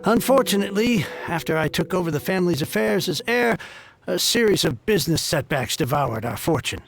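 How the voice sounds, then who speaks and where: A man's voice: high-pitched, animated, fairly quick, creaky, soft background music. A man playing an effusive, formal heir of a fallen merchant family in a video game, speaking earnestly and wordily. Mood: grateful, earnest.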